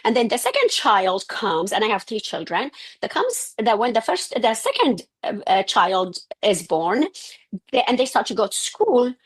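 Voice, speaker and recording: A woman's voice: on the high side, wildly swooping pitch, moderate pace, slightly rough. A middle-aged woman from Syria with an Arabic accent, a physician telling her personal story in an interview, earnest and restarting her sentences often. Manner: earnest, with frequent restarts and self-corrections. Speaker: guest, physician.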